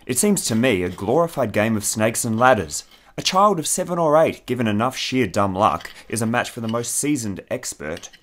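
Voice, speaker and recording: A man's voice: mid-range voice, very animated, moderate pace, natural voice. A man playing a worldly, teasing army major in a radio play, opinionated and formal in his speech. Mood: dismissive.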